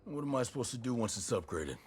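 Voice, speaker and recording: A man playing a gruff, wry special-ops soldier in a video game cutscene, dry and guarded in manner. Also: mid-range voice, big pitch swings, fast, soft background music, natural voice. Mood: skeptical, firm.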